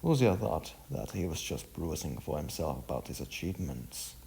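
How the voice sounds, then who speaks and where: A man narrating a scripted story in a halting way, stumbling over words. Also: very deep voice, very animated, vocal fry, warm tone.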